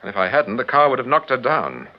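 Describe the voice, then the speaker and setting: An actor playing a suave, courteous amateur detective and devoted husband, with a clipped Received Pronunciation English accent in mid-century radio drama style. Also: male voice, mid-range voice, very animated, quick pace. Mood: composed, businesslike. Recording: muffled, noisy old radio recording.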